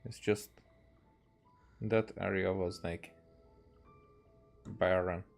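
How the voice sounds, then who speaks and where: A man's voice: sits low, fairly even intonation, drawn-out words, gravelly, faint music behind. A man with a Hungarian accent casually commentating on a video game, musing aloud in short fragments. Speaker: game streamer.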